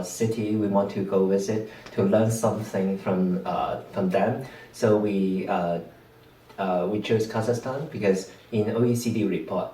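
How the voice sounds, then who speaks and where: A man teacher, calmly explaining his answers in an interview. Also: lowish voice. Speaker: visiting teacher from Hong Kong. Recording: roomy, distant mic, faint room noise.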